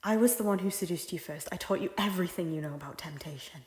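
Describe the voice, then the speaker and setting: A woman's voice: lowish voice, fast talker, a little mellow, slightly rough. A woman playing a repentant former temptress, an earnest and defiant noble lady speaking formally in a theatrical audio drama. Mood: pleading, resolute. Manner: formal, archaic, impassioned speech. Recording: roomy echo, music underneath. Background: music.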